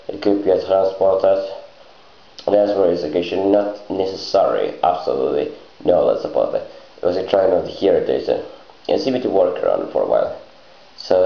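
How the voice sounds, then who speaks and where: A dull, echoey lo-fi recording of a man with a creaky, gravelly voice. He improvises a meandering monologue, hesitating often. His phrasing is disjointed and his grammar comes out garbled. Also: low voice. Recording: muffled lo-fi, echoey room.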